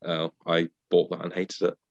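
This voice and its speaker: A man in his twenties from England, co-hosting a comics podcast with blunt, deadpan remarks. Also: deep voice, normal speed, no echo, lo-fi sound. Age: 20s. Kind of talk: casual comics discussion.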